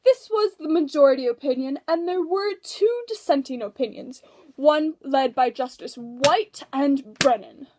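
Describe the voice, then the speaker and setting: A woman's voice: high-pitched, unhurried, a little mellow, slight background noise. A woman giving scripted educational narration for a puppet show.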